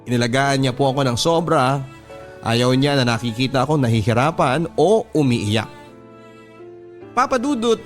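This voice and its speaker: Filipino man narrating sentimental letters over music. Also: medium pitch. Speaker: radio host reading listeners' letters. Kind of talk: dramatic scripted narration of personal love-story letters. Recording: music playing under the voice. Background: background music.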